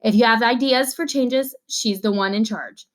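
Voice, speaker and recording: A woman's voice: a little high, moderate pace, natural voice. A woman reading a storybook aloud as a composed male teacher addressing his class in an orderly, encouraging way. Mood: announcing.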